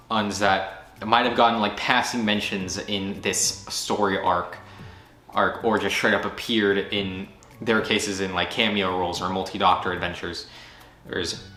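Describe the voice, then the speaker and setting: Eager rambling man, bright voice. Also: fairly low pitch. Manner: rambling and eager, with frequent restarts and self-corrections. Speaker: channel creator recapping the year. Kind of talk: casual solo recap to camera. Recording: echoey room.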